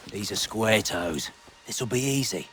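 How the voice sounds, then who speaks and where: An actor playing a cocky, condescending ruffian with quiet menace in a video game. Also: male voice, lowish voice, animated, unhurried. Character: condescending, low-voiced male ruffian. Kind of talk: video game dialogue acting.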